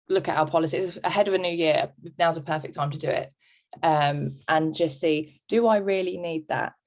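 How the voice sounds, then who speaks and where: Low, gravelly woman presenting. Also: a little mellow. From England. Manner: reflective, measured, frequent "um". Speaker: guest speaker, childminder. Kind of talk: webinar presentation.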